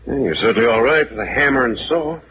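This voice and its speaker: An actor playing a tough, skeptical adventurer, terse and commanding, in an old radio drama. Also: male voice, medium pitch, animated, fast talker, close to an everyday voice. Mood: dryly skeptical. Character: tough, skeptical male adventurer, take-charge leader. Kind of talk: 1940s radio acting. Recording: muffled, noisy old radio recording.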